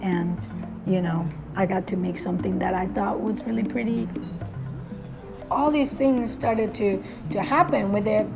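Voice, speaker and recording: A woman's voice: medium pitch, a little slow, vocal fry, music in the background. A woman from Argentina, a cosmetics brand founder, chatting casually about her life and work and laughing easily. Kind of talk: casual conversation.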